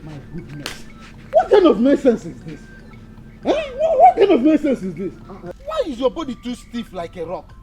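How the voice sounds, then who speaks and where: A man's voice: sits high, wildly swooping pitch, normal speed, music in the background. A man with a Nigerian accent acting an impatient, strict dance teacher who scolds with exasperated questions in a comedy drama. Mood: exasperated scolding. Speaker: actor.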